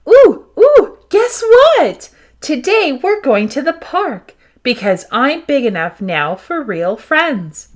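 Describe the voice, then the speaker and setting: A woman voicing an eager, naive young puppy with a childlike, wide-eyed manner in a storybook read-aloud. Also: a little high. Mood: earnest.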